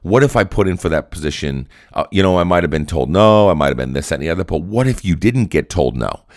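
Solo podcast host, male, deep and raspy with a cold, earnest pep-talk delivery. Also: lively intonation, quick pace, a little mellow, dry recording, studio quality. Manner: earnest, repetitive, coaching tone.

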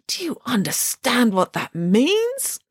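Storybook pig lady, voiced by a woman, high and lofty, slow and solemn, lively swooping pitch, dry close sound. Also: dry recording, exaggerated voice. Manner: slow, high, theatrical, drops to breathy whispers. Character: grief-stricken female pig, dramatic and lofty.

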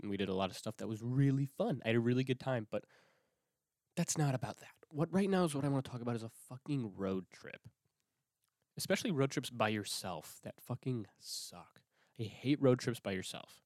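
A man's voice: fairly low pitch, no echo, clean studio sound. A young man hosting his own podcast, talking alone in a rambling, swear-heavy way with lots of restarts, sniffling from a cold. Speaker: podcast host. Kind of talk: casual solo podcast monologue.

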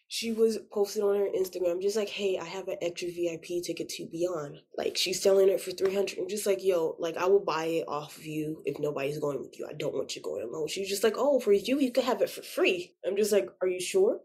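A woman's voice: lowish voice, fairly quick, darkish tone, husky. A woman in her twenties chatting as an interview guest, telling personal stories in an informal, slangy way. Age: 20s. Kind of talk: casual chat, storytelling.

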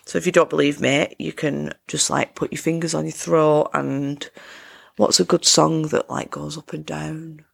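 Croaky woman doing her solo podcast, super gravelly, chatty and upbeat. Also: low voice, flat delivery, normal speed. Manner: chatty, upbeat self-help talk. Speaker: podcast host. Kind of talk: casual solo podcast chat.

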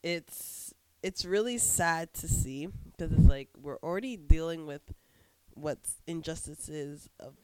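A woman's voice: low-pitched, slow pace, darkish tone, smooth, no echo, poor audio. A woman co-hosting a podcast in casual chat at her co-host's home, sounding stuffy from a cold and talking candidly with many restarts. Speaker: podcast co-host.

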